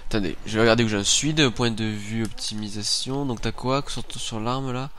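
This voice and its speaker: A man's voice: lowish voice, brisk, fairly bright tone, slight background noise. A man with a head cold giving casual, informal video game commentary, muttering to himself as he plays. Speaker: let's play host.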